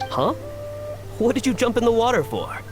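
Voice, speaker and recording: An actor playing a blunt, curious young companion in a video game, casual and wry. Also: male voice, very high-pitched, very animated, normal speed. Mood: wry, puzzled. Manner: casual, cheeky. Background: background music.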